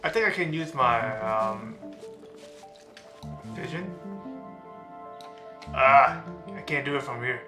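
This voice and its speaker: A man's voice: mid-range voice, lively intonation. Streamer man in an echoey room. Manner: rambling, halting commentary. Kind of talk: casual gameplay commentary. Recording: echoey room with game music.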